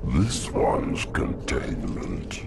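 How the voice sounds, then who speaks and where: A man voicing an ancient monstrous hive mind in a video game cutscene, speaking in solemn, ominous proclamations with a gravelly rumble. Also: huge pitch swings, drawn-out words, heavily acted. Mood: ominous warning.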